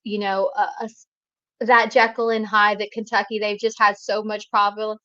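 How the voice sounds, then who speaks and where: A woman's voice: mid-range voice, level tone, normal speed, pushed and bright, no echo, highs cut off. A woman co-hosting a college football show, chatting with many fillers and restarts. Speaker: co-host. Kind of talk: sports talk commentary.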